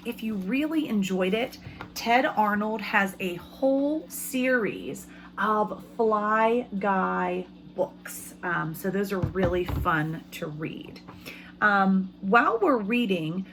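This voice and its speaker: A woman teacher giving an expressive read-aloud lesson for young children, pausing to ask them questions. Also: medium pitch, a little hiss or hum. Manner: expressive storybook reading. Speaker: elementary school teacher.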